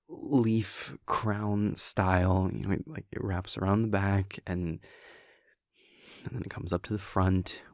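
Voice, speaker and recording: A man playing an affectionate, bashful vampire prince boyfriend in an intimate roleplay audio, breathy and husky, with a halting, softly teasing manner. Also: deep voice, no echo, studio quality, exaggerated voice. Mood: fond, embarrassed.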